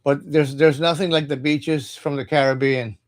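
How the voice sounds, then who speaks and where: A man from Cuba, reading tarot cards in a halting, casual livestream chat and often repeating his words. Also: fairly high pitch, fairly even intonation. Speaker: tarot reader.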